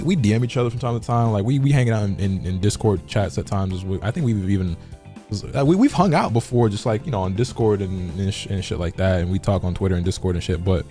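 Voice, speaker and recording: Deep-voiced fast-talking male streamer. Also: warm tone. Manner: rambling, heavy use of "like" and repeated words; laid-back, swears freely. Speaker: gaming streamer. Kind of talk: casual stream chat.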